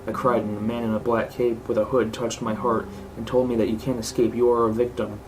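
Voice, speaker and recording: Man, horror story narrator, monotone delivery, clearly noisy recording. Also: mid-range voice, brisk. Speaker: narrator reading horror stories.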